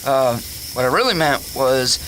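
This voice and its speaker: A man's voice: medium pitch, animated, a little slow, fairly bright tone, a little hiss or hum, rough recording. A man playing an eager, folksy fire lookout in a radio drama.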